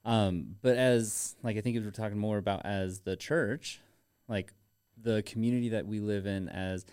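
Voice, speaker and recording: A man co-hosting a podcast in casual banter, with a teasing, informal manner and halting phrasing. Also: on the low side, fairly even intonation, a little mellow, clear, resonant tone. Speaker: podcast co-host.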